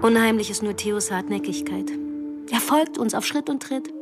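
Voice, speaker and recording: A woman's voice: fairly high pitch, normal speed, loud music behind the voice, close to an everyday voice. A woman playing an infatuated, volatile and manipulative woman on a diving holiday in a radio drama. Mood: uneasy. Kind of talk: radio drama acting, diary-like monologue and dialogue.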